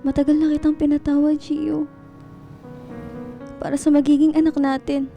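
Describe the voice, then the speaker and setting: A woman's voice: high voice, little pitch movement, moderate pace, warm tone. A woman playing an emotional, devoted pregnant wife in a radio drama, with a pleading delivery. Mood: tender. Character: distraught pregnant young wife, forgiving and devoted. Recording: dramatic music behind.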